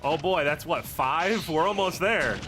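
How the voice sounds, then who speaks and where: A man's voice: on the high side, unhurried. A man giving casual, sarcastic gameplay commentary with some grumbling and swearing, as a streamer would. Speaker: video game streamer. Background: game music.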